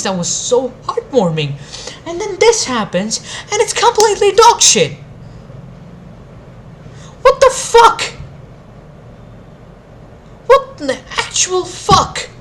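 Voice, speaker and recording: A woman's voice: high voice, very animated, slow pace, long pauses, a bit bright, a little hiss or hum. A woman giving an opinionated video commentary, exasperated and emphatic, with plenty of swearing. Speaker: anime review video creator.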